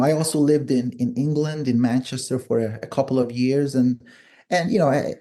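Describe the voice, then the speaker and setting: A man's voice: medium pitch, dark tone. A middle-aged man speaking reflectively in an interview, thoughtfully repeating and rephrasing as he goes. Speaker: podcast guest, author and psychiatrist. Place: remote online call.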